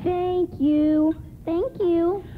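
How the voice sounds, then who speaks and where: High, slow, eager little child. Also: female voice, fairly even intonation, heavily acted. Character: eager, pleading young child of the family. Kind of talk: radio play acting. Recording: lo-fi, faintly noisy radio broadcast.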